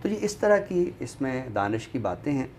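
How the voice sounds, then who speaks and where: A man with a Pakistani accent discussing books in a deliberate, scholarly way, often pausing mid-sentence. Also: medium pitch, very animated, a little mellow. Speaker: literary show presenter. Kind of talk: book discussion in Urdu literary talk. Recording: noticeable background noise.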